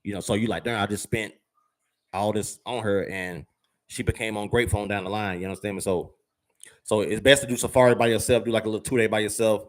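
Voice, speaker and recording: A man's voice: on the low side, fairly even intonation, quick pace. A man giving viewers casual travel advice, rambling with fillers and repeated words and using slang. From United States. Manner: uses slang, addresses viewers as fam and y'all. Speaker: travel vlogger.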